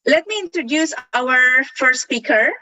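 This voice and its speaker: A woman's voice: high voice, lively intonation, unhurried, sharp tone, no room sound, low-quality recording. A woman with an Indonesian accent moderating a webinar over a video call, formally reading out a speaker's introduction. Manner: formal, reads introductions carefully. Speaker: seminar moderator.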